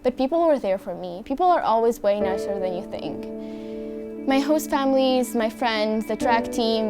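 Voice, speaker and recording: Teen girl from tunisia, earnest and quiet, music playing clearly under her. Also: fairly high pitch. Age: teens. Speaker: exchange student. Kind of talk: reading a personal story.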